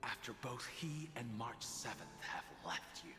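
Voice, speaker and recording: An actor playing a solemn, weary leader in a game, with a measured, grave and formal delivery. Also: male voice, medium pitch, animated, sharp tone. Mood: bitter, anguished. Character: solemn, weary male Vidyadhara leader with hidden anguish. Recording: long echo, music behind.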